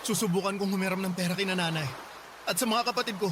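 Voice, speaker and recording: A man's voice: sits high, quick pace, background noise, natural voice. A man playing a worried, insistent young husband and father in a Tagalog radio drama, anxious and urgent. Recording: music behind the voice.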